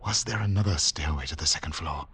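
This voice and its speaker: An actor playing a haunted secret agent on the run, narrating in dramatic, deliberate, clipped phrases in 1940s radio thriller style. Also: male voice, rumbling low, fairly bright tone, breathy edge, heavily acted. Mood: hushed suspense. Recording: noisy 1940s radio recording with clicks.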